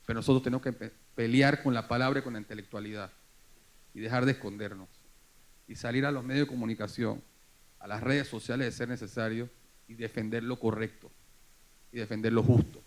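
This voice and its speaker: A man's voice: medium pitch, steady tone, brisk, rough recording. A man speaking earnestly and emphatically as a forum panelist.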